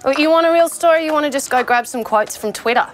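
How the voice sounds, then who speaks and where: Woman acting a jaded, high-voiced zombie woman. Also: fast, a little hiss or hum, close to an everyday voice. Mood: scornful, exasperated. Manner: sardonic, sweary, conversational ranting. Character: jaded, opinionated freshly turned vegetarian female zombie. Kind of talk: naturalistic short-film comedy acting, mock interview.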